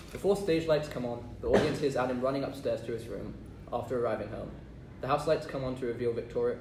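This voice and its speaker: A man narrating stage directions from a script at a play table read. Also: medium pitch. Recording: roomy distant mic, clear background noise.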